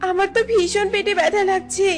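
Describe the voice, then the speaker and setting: Lady doing a distressed village mom, high voice, dramatic and lamenting, cartoon story with soft music behind. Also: little pitch movement, a little fast. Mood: pained. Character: distressed village mother, anguished and pleading. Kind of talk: cartoon fairy-tale voice acting.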